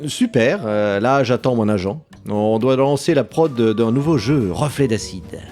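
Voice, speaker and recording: Male actor as overconfident game entrepreneur: animated, slightly high, brusque, comic radio play, music underneath. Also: unhurried, close to an everyday voice. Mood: confident. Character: deluded, breezily confident male game entrepreneur. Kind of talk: comedic amateur audio drama in French.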